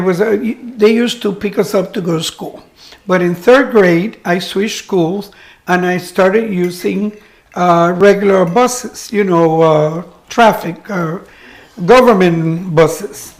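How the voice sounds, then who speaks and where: A woman's voice: fairly low pitch, darkish tone. Older woman from Cuba reminiscing. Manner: reminiscing, chatty with many restarts. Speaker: guest, retired teacher. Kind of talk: casual chat.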